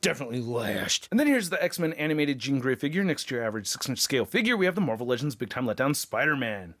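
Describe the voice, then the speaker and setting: A man's voice: medium pitch, very animated, fast talker, a bit bright, no room sound, clean studio sound. A man giving a casual, chatty, opinionated hands-on review of action figures as the host of a toy channel.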